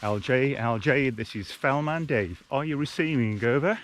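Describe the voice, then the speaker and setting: A man's voice: medium pitch, moderate pace, a little hiss or hum. A man giving a casual, practical outdoor field-test commentary, speaking plainly as a hiking vlogger. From United Kingdom. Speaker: hiking vlogger and gear tester. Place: outdoors on open hills.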